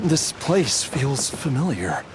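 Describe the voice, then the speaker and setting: An actor playing a troubled but determined young man in a video game, speaking earnestly and haltingly. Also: mid-range voice, very animated, background noise. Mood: strained, resolute. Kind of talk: video game character acting. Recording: faint game music behind.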